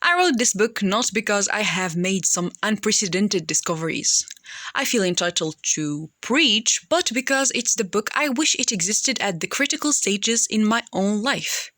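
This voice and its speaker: A woman's voice: mid-range voice, animated, normal speed, bright, forceful tone, no echo, clean studio sound. A woman reading scripted audiobook narration.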